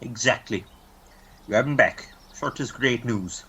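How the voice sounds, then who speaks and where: A man's voice: mid-range voice, a little slow, sharp tone, husky, full character voice. A man acting an easygoing, dismissive Irish village policeman in an amateur radio play, breezy and placating. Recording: faint background hiss.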